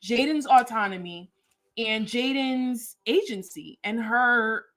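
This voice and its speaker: A woman's voice: a little high, slow pace. A middle-aged woman hosting a casual livestream chat, giving motherly advice to her goddaughter and talking to viewers. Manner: animated, motherly advice, addresses viewers as y'all. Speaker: host and godmother.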